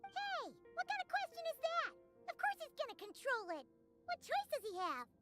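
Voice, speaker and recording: Squeaky tiny game critter, super high and bouncy, lots of music behind. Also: female voice, big pitch swings. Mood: indignant. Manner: squeaky, shrill and fast. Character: tiny excitable floating companion creature.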